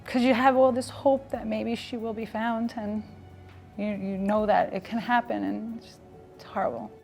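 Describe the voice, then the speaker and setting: A woman's voice: on the high side, fairly even intonation, normal speed, gravelly, a little mellow, soft background music. A distressed, tearful woman giving an emotional personal account as an interview guest.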